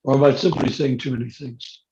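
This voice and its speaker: A man's voice: medium pitch, fairly even intonation, fairly quick, warm tone. A man leading a spiritual discussion on a video call, earnest and rhetorical, his voice coming through scratchy via hearing aids linked to a phone. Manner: earnest, rhetorical, asks if he makes sense. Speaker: discussion leader. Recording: muffled scratchy call audio with noise.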